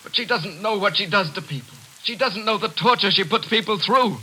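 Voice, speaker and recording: A man's voice: high-pitched, lively intonation, fairly quick, sharp tone, full character voice, poor audio. An actor playing a dejected, tormented young man in love in a 1940s radio drama. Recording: faintly hissy 1940s radio broadcast.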